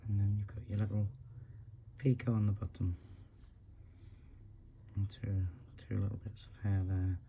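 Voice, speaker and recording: Man, husky after the flu, low and mellow, calm craft tutorial, counting beads aloud. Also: unhurried, long pauses, slight background noise. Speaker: beading tutorial host. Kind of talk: step-by-step craft instruction.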